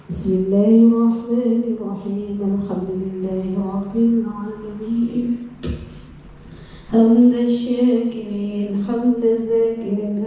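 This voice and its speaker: A woman leading a prayer, chanting in a melodic voice. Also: medium pitch. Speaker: prayer leader. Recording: echoey hall from far off, dull sound.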